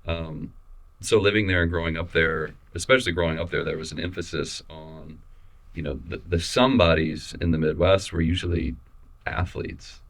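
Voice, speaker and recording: A young adult man from Missouri, a photographer talking casually in an interview, relaxed and halting with many restarts and fillers. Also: low voice. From Missouri, USA. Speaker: photographer guest.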